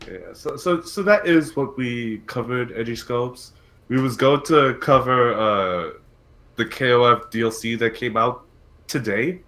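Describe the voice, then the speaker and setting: A man's voice: mid-range voice, unhurried, fairly bright tone. A man co-hosting a casual live stream podcast, speaking a little hesitantly and often repeating himself. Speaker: podcast co-host.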